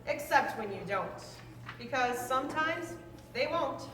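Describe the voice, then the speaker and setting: A woman reading a children's rhyming book aloud in a lively, sing-song way. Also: high-pitched, measured pace. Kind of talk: read-aloud storytelling. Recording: roomy, distant mic, faint noise.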